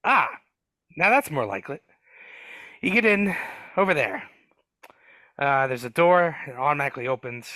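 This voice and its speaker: A man voicing a grumpy, gruff male dwarf gardener, speaking in a cagey, grumbling way over a video call. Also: a little high, lively intonation, normal speed, pauses often, sharp tone, no room sound. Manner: grumbling, cagey, rough working-class speech. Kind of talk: live dramatic reading over video call.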